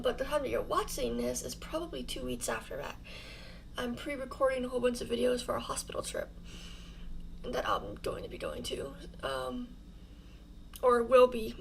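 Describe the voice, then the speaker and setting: A woman's voice: high voice, creaky, slight background noise. A young woman hosting her channel, talking casually over a home build in a rambling, self-deprecating, giggly way, her mouth sore from fresh dental fillings. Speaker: YouTuber host.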